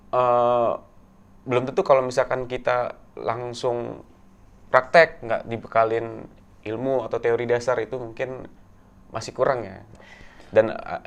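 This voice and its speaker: A young man from Tegal, a guest in a casual interview, talking in a soft, halting way with many fillers. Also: mid-range voice, creaky. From Tegal, Central Java, Indonesia. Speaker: guest actor.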